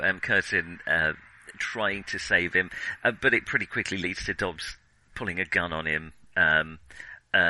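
A man hosting a casual film discussion, talking in a hesitant, halting and wordy way. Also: deep voice, bright, forceful tone, dry recording, poor audio. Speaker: film podcast host.

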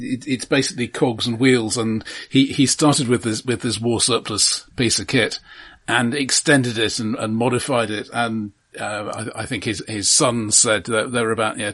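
A man co-hosting a film podcast, casually explaining things in a halting, conversational way. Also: mid-range voice, fairly bright tone, husky.

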